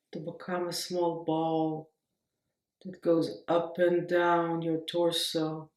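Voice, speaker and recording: A woman leads a guided meditation in a roomy, echoing space. Her delivery is very slow and even, with gentle instructions offered in short, unhurried phrases. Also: low voice. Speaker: meditation teacher.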